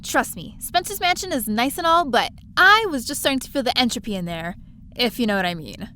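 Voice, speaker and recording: A woman's voice: fairly high pitch, animated, brisk, sharp tone, a little hiss or hum. An actress playing a chatty, curious young woman stowaway who narrates and talks through her space adventure in an audio drama. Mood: breezy, insistent. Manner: chatty, breezy, eager. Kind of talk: first-person audio drama narration and dialogue.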